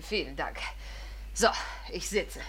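Haughty old theatre diva, lowish voice, grand n theatrical, old radio play. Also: female voice, lively intonation, slow, a bit bright. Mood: gracious, bossy. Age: older. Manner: grand, drawling, dismissive. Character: imperious elderly grande-dame stage actress, haughty and theatrical. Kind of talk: 1950s German radio drama acting.

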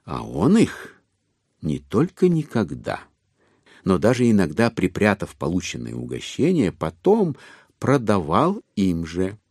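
A man narrating classic literature for an audio drama, expressively, with measured phrasing and dramatic pauses. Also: sits low, huge pitch swings, darkish tone, slightly rough, dry recording, clean studio sound.

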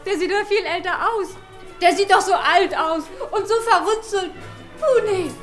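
An actress playing a giddy, dreamy innkeeper's young daughter in a German radio play, excitable, girlish and snobbish. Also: extremely high pitch, moderate pace, soft background music. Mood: teasing, giddy.

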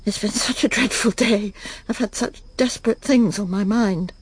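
A woman playing a prim, weary, middle-aged English lady in a radio drama, halting and polite, murmuring to herself with an English accent. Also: medium pitch, no room sound, natural voice. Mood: anxious confiding. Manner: polite, halting, breathy murmured self-talk. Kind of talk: radio drama acting, intimate monologue and dialogue.

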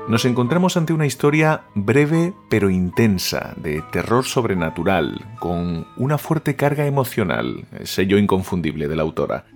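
A man from Spain, by his accent, presents in a fairly deep voice. His delivery is polished and formal, like a scripted host introduction to audio fiction, with soft music underneath. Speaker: host and producer of a horror audio fiction podcast. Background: faint music.

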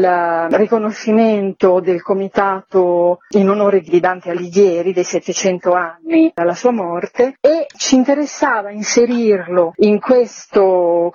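A woman, a theatre artistic director, explaining a programme in a measured way as a radio interview guest. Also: medium pitch, a little mellow, no echo. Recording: dull lo-fi radio interview audio.

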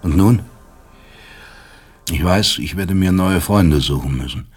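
Elderly psychiatry professor, very low pitch, husky, bitter, articulate, sardonic, radio play. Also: male voice, slow pace, darkish tone, faint music behind, full character voice. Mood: indignant, cold. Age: older. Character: proud, bitter old East German psychiatry professor. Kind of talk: German radio play acting.

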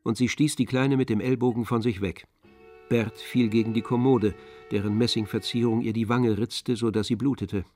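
A man narrating a literary radio drama in a measured, composed storytelling manner. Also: medium pitch, fairly even intonation, warm tone, soft background music, lightly acted. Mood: sober.

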